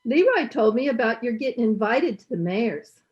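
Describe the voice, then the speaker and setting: An actress playing a sassy, good-humored housekeeper with folksy wisecracks in an old-time radio comedy, slightly muffled. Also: female voice, fairly high pitch, sing-song, moderate pace. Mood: teasing. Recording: slightly muffled online-call sound.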